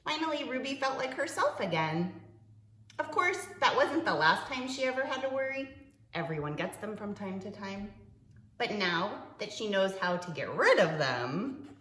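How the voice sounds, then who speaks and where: A woman preschool teacher reading a picture book aloud to young children, expressively, with dramatic pauses and stretched-out words. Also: mid-range voice, big pitch swings, a bit bright, roomy sound.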